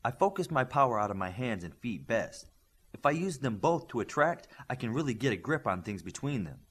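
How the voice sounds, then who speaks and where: A man's voice: medium pitch, very animated, brisk, slightly rough. Man acting a cool, confident young superheroine. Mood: matter-of-fact. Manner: cool, matter-of-fact, daring. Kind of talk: audiobook character dialogue.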